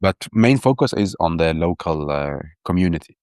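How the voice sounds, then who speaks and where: A man's voice: deep voice, animated, moderate pace, darkish tone, clean tone, dry recording, clean studio sound. A man in his thirties from Iraq, a guest on a podcast, talking with enthusiasm and often restarting and repeating his words. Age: 30s. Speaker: podcast guest, urban planner. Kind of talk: casual interview talk.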